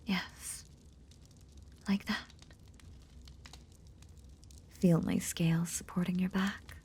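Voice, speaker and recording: A woman playing a possessive lamia in an intimate roleplay audio drama, tenderly nursing in a breathy, half-whispered, seductive murmur with veiled menace. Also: on the low side, level tone, a little mellow, heavily acted. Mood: gentle, doting. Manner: slow, soothing, seductive murmur.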